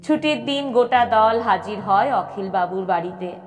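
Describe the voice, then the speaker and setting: A woman narrating a scripted audio story expressively, with dramatic pauses. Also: mid-range voice, animated. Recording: long reverb with music behind. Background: background music.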